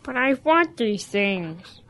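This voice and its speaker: A woman's voice: medium pitch, sing-song, slow, fairly bright tone, slight background noise. A woman playing a stubborn, childlike young calf who insists on being a duck, in a children's audio drama.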